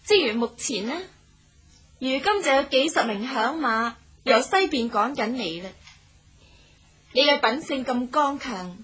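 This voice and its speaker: High, bright plucky martial maiden. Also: female voice, husky, full character voice. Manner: coy, playful, confident, sentence-final lilting particles. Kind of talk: Cantonese radio drama acting.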